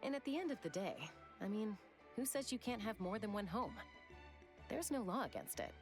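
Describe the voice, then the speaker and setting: A woman's voice: mid-range voice, lively intonation, normal speed, over music, lightly acted. A woman playing a thoughtful, reassuring young adventurer in a video game, speaking reflectively. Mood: encouraging.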